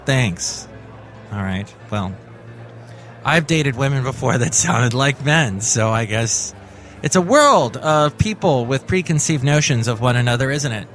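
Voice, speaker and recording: A man's voice: mid-range voice, expressive, unhurried. Rambling, self-mocking male podcast host. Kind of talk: comic monologue. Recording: loud music bed under voice.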